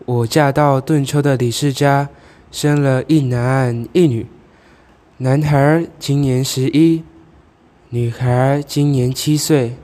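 A man's voice: medium pitch, steady tone, takes it slowly, dark tone, natural voice. A teenage male student playing a commanding, grieving ghost of a noble wife in an amateur radio drama, speaking formally. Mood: sorrowful.

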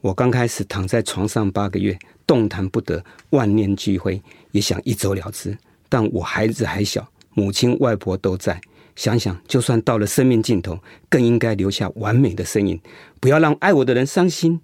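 A husky, determined male patient. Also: fairly low pitch, moderate pace, a little mellow, no echo, clean studio sound. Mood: earnest, encouraging. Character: male cancer patient in rehab, determined, upbeat, encouraging. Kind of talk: Mandarin radio drama acting.

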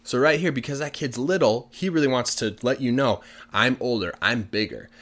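A man's voice: mid-range voice, moderate pace, a bit bright. A man who is a native English speaker, patiently explaining language points in a casual lesson with lots of examples. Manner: patient, plain-spoken explaining with many examples. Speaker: English teacher.

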